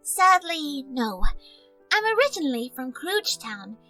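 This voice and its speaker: A young cartoon creature girl who is new in town. She is guarded and dryly polite. Her high voice moves with lively pitch, over faint music. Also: measured pace, heavily acted, rough recording. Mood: wistful. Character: young female newcomer girl creature, guarded, dry, well-spoken. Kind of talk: animated audio drama acting.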